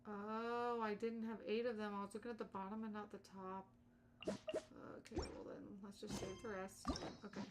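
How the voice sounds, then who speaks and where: A woman's voice: medium pitch, level tone, normal speed, background noise. A woman livestreaming games in casual, slangy chat, chatty and opinionated as she talks to viewers. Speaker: streamer, content creator.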